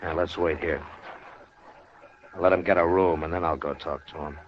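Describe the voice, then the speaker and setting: A deep, laconic frontier lawman played by a male actor in a vintage radio western. He speaks with dry, unhurried authority. The recording is dull and noisy, like an old broadcast. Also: fairly even intonation, close to an everyday voice. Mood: calm, commanding. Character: steady, wry frontier US marshal, grown man. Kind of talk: 1950s radio drama acting.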